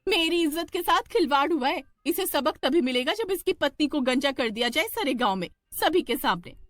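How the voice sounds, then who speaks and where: A woman's voice: high-pitched, lively intonation, moderate pace, a bit bright, no echo, clean studio sound, pitched above the performer's own voice. A woman voicing a scheming, demanding village woman with a dramatic, indignant manner in a cartoon story. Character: scheming, shrill village woman falsely accusing a snack seller.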